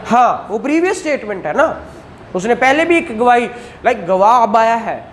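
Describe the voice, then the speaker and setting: A man giving a classroom law lecture, explaining in a conversational tone, reading legal text slowly, and acting out courtroom questions. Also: extremely high pitch, sing-song. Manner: explains in Urdu, reads English statute text slowly aloud; acts out courtroom exchanges.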